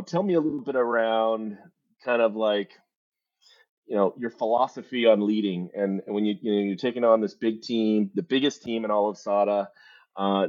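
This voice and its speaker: A man's voice: lowish voice, darkish tone, lo-fi sound. A man hosting an interview as a company leader, upbeat and conversational, with many fillers like "um" and "you know".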